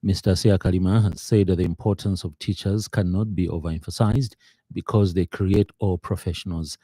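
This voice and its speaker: A man with a Zambian accent reading a scripted radio news bulletin in a measured, formal, slightly hesitant way. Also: sits low, steady tone, warm tone, slightly rough, dry recording. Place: radio studio.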